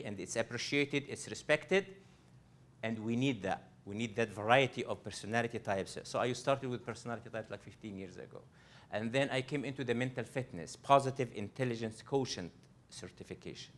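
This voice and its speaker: A man from Egypt, a coach presenting a live workshop to an audience. He speaks earnestly, often restarting and repeating phrases as he addresses the room directly. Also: medium pitch. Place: library event room.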